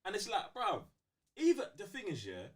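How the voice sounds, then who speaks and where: Animated, slangy London man. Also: high voice, normal speed. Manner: slangy, emphatic, repeats phrases for effect. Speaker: co-host of a fan talk show. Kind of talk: casual animated fan debate.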